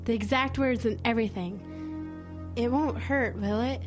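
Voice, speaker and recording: Vengeful, secretive schoolgirl played by a woman, horror audio play. High pitch, evasive delivery, clear music bed. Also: normal speed, natural voice. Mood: scheming, excited. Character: secretive, vengeful teenage schoolgirl dabbling with spirits. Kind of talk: audio horror drama acting.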